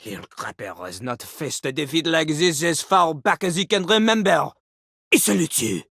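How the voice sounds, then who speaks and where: An actor playing a boastful French talking toilet in a video game, a pompous, theatrical rapper with a French accent. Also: male voice, on the high side, animated, normal speed, gravelly, a bit bright, no room sound, studio quality, heavily acted. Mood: haughty. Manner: pompous, theatrical, refers to himself in third person. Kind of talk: video game character acting.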